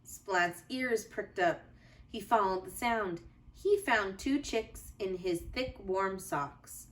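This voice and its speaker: Woman, slow storybook read-aloud, expressive teacher phrasing, mid pitch, slightly distant mic. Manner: deliberate, expressive phrasing for young children.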